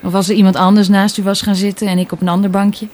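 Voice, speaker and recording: Woman playing young daughter, mellow dark tone, melancholy, guarded, radio play dialogue. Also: medium pitch, flat delivery, quick pace, lightly acted. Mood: pensive. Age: young adult.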